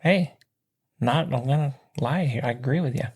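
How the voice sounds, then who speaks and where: A man co-hosting a sports podcast, bantering casually in an excitable, opinionated and slangy way. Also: medium pitch, moderate pace, slightly rough.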